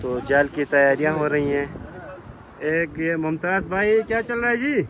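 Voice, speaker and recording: A man speaks in a chatty, excited vlogging style, dropping plenty of fillers as he talks. His voice sits a little high for a man. He is recorded outdoors by a river, with a crowd of people chattering around him. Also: normal speed. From Pakistan. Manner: enthusiastic, chatty, with frequent "ji" and "e" fillers. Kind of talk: outdoor vlog narration.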